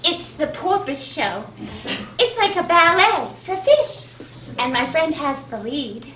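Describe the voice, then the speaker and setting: Actress as doting fussy goddess wife, stage comedy, slow gushing delivery, very high, distant, noisy room. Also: female voice, very animated, fairly bright tone, full character voice. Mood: chatty, defensive. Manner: gushing, solicitous, drawn-out exclamations. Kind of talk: live one-act stage comedy acting. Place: stage of a small playhouse. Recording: distant echoey theatre, clear background noise.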